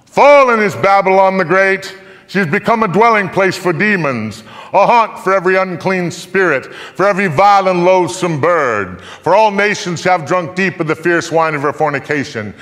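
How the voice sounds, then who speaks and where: An older man giving a theatrical live recitation of scripture in a solemn, deliberate, emphatic manner. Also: lively intonation. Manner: solemn, deliberate, emphatic, swelling from hushed to booming. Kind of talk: live dramatic reading, theatrical storytelling recitation. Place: theatre stage before a live audience. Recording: echoey theatre hall, long reverb.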